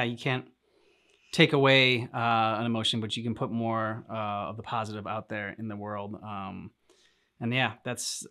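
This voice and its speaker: A man co-hosting a reaction show, casually discussing a game's story, thinking aloud in halting short fragments. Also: on the low side, pushed and bright. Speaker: reaction channel co-host.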